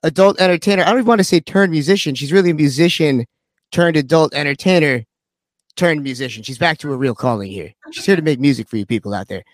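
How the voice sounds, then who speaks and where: Male radio host, joking crude banter, a bit high-pitched, dry sound. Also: fast talker, dry recording. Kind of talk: casual interview chat.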